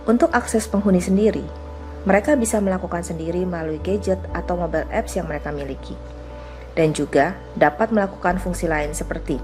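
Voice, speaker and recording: A woman giving a scripted, explanatory product demo narration. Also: fairly low pitch.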